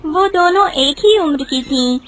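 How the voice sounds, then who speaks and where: A woman's voice: sits high, lively intonation, unhurried, fairly bright tone, a little hiss or hum. A woman narrator telling a children's cartoon story.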